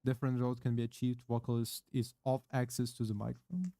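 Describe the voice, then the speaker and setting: A man streaming from his home setup, reading a product manual aloud haltingly into a close condenser microphone, with many fillers and offhand swearing. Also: medium pitch, level tone, dark tone, no room sound. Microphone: condenser microphone with pop filter, close.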